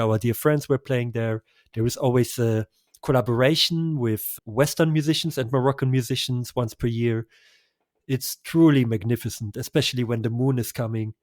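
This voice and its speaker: A man's voice: mid-range voice, darkish tone, no room sound. Enthusiastic male travel guest, dry sound. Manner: enthusiastic, effusive recommendations with small laughs. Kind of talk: conversational travel interview.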